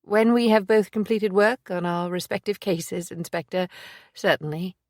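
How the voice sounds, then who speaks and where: A woman's voice: on the low side, darkish tone, no room sound, clean studio sound, pitched above the performer's own voice, lightly acted. A woman voicing a composed woman investigator. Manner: measured, formal, resolute.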